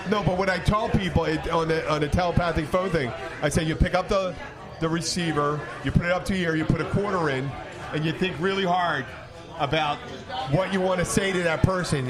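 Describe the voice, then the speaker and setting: A man hosting a casual podcast, telling jokey bar stories in an animated, profane way, often with a laugh in his voice. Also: on the high side. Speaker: podcast host and bartender. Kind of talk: casual bar banter and storytelling. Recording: noisy bar crowd behind.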